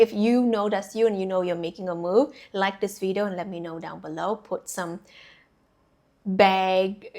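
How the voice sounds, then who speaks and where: A young adult woman from Vietnam, a spiritual coach giving a chatty intuitive reading straight to her viewers, full of fillers and restarts. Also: medium pitch. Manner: chatty, many fillers and restarts, laughs easily. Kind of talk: casual intuitive reading to camera.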